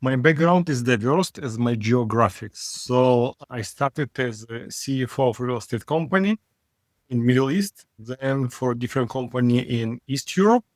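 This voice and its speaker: Male CEO guest from Israel, frank and wry, mid-pitch, dry close sound. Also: lively intonation, no echo. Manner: frank, wry, halting non-native phrasing. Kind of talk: casual interview talk.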